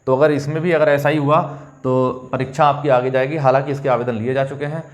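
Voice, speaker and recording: Man, mid-pitched voice, coaching teacher, informal explainer, talks straight to students. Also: flat delivery, brisk. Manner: addresses students directly, checking in with "okay". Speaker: coaching teacher and channel host. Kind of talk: informal explanatory exam news update.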